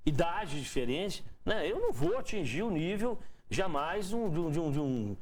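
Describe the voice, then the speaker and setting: A man's voice: on the high side, sing-song, moderate pace. A male interview host with a Minas Gerais Portuguese accent, chatty and joking, often repeating words as he searches for them. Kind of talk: casual interview chat.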